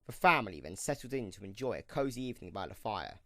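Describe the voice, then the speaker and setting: A man's voice: lowish voice, big pitch swings, fast, sharp tone, no room sound, clean studio sound. A man narrating a scripted audio drama in a dramatic storytelling style.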